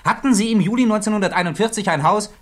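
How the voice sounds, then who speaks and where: A government counsel in a 1960s radio play. His voice sits fairly high. He is formally polite yet pointed and clipped. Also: fast, a bit bright. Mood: probing. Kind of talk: 1960s German radio drama acting.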